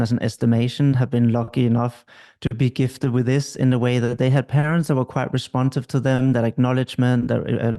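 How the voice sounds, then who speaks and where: A middle-aged man from Denmark, a couples therapist explaining at length in an interview, calm and measured. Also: medium pitch.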